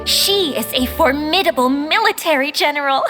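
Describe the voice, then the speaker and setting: A woman playing a gentle, courteous young caretaker in a video game, speaking formally with a touch of hesitancy. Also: high voice, normal speed, over music, close to an everyday voice. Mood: explaining. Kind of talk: video game cutscene dialogue.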